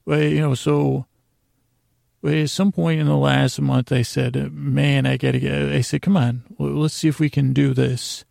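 A man tells personal stories in a gravelly, heavily creaking voice with little melodic movement. His delivery wanders and stalls, often dropping a thought halfway, with a self-deprecating, confessional bent. The sound is close and dry. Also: medium pitch, flat delivery, darkish tone, no room sound. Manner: meandering, halting, trailing off mid-sentence. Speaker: podcast host. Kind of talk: rambling personal storytelling monologue.

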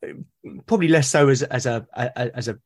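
Man, British accent, mid-pitched voice, casual podcast guest, halting, repetitive phrasing, thinking aloud. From Britain. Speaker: podcast guest, solo roleplaying gamer. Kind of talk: casual interview conversation.

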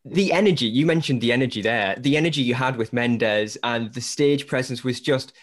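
A man's voice: mid-range voice, a little fast. A man with a Newcastle accent hosting a podcast, chatty and full of enthusiastic praise. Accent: Newcastle English. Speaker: podcast host and interviewer. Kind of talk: casual podcast chat.

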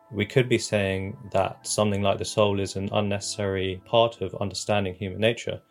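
A man giving measured, reflective answers as an interviewed academic expert, in formal scholarly wording. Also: fairly low pitch, gravelly. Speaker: interviewed expert on religion and technology.

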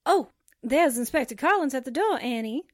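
High, anxious girl-sidekick played by a woman. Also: animated, normal speed, dry recording, studio quality. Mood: helpful. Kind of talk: 1940s radio drama acting.

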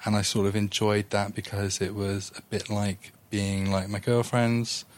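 A young man with a low, level voice that barely rises or falls, talking things through slowly and thoughtfully. He stays measured and plain-spoken, dropping in a blunt aside now and then. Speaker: interviewee. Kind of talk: reflective personal account.

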